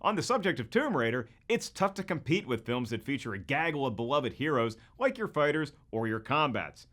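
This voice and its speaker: A man's voice: mid-range voice, big pitch swings, a little fast, a bit bright. A man hosting scripted comedic commentary, with a wisecracking manner full of rhetorical questions. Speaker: host and comedian.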